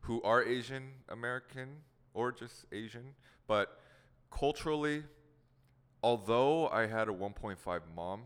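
A man's voice: mid-range voice, unhurried, a bit bright, clear, resonant tone, in a large hall. A young man from the Seattle area whose first language was Korean, hosting a casual podcast chat with a laid-back, rambling manner and frequent laughs. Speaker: podcast host.

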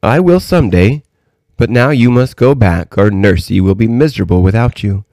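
A man voicing a very old, kindly and mysterious great-great-grandmother in a gentle, patient, measured manner. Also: lowish voice, animated, no room sound, studio quality, voice pushed up. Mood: gentle, reassuring.

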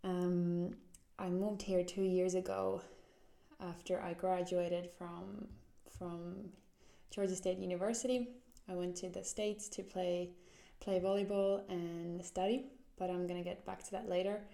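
A young Finnish woman in her twenties talks through her solo podcast at an unhurried pace. Her voice is soft and mellow, and she speaks with a Finnish accent. She is earnest and often circles back to restart a phrase as she searches for her words. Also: on the low side, level tone, creaky. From Finland. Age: 20s. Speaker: podcast host.

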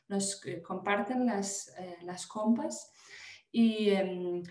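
A woman's voice: mid-range voice, reverberant. Woman speaking careful Spanish. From Denmark. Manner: hesitant, many fillers and restarts. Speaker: guest activist. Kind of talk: conversational interview answer.

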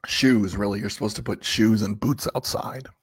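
A man with a slightly raspy voice hosting a casual livestream, rambling conversationally and asking viewers questions. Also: lowish voice, fairly even intonation, normal speed. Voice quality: a little raspy. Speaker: livestream host.

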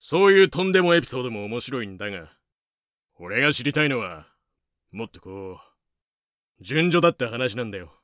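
A man playing a blunt, self-assured questioner with a measured, commanding manner in a fan-made voice drama. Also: mid-range voice, big pitch swings, fairly bright tone, dry recording, studio quality. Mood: dry, insistent. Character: blunt, self-assured man demanding orderly pirate tales.

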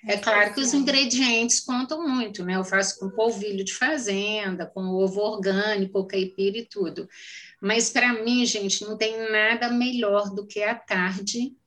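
A woman from Minas Gerais, Brazil, with a strong Minas Gerais accent, a cookbook author talking as a podcast guest in an animated, enthusiastic way. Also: medium pitch, normal speed. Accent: strong Minas Gerais Brazilian Portuguese. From Carmo do Paranaíba, Minas Gerais, Brazil. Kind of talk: casual interview chat.

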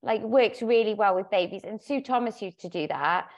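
A woman in her thirties co-hosting a podcast, talking in an animated way with many likes. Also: medium pitch, moderate pace. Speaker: podcast co-host. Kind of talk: casual chat. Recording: dull lo-fi sound.

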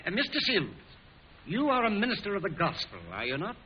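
An actor playing a formal, insistent prosecuting lawyer in an old-time radio drama. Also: male voice, sits high, sing-song, fairly quick, breathy edge, exaggerated voice. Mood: officious. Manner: officious, formal questioning. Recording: muffled, distant, hissy old radio recording.

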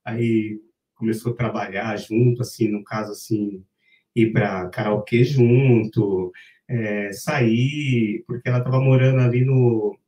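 A man's voice: on the low side, steady tone, a little slow, warm tone, distant mic. A man casually telling the story of how he met his wife, rambling and tagging his sentences with "né?". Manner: rambling, frequent "né?" tags. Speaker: interview guest, singer. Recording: roomy, from off-mic.

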